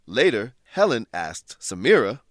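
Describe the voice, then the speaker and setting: A man hosting and narrating a scripted language lesson, explaining things in a clear, measured teacher's manner. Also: medium pitch, very animated, no room sound, studio quality. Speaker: host and English teacher.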